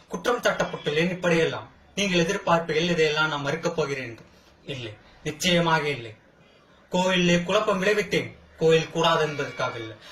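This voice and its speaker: A man performs as a wronged brother defending himself in a film courtroom drama. His fairly high voice declaims with defiant, impassioned rhetoric and dramatic pauses. The sound rings with clear room echo. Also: a bit bright, lightly acted. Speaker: amateur actor practising. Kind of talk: theatrical Tamil film courtroom monologue. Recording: echoey room.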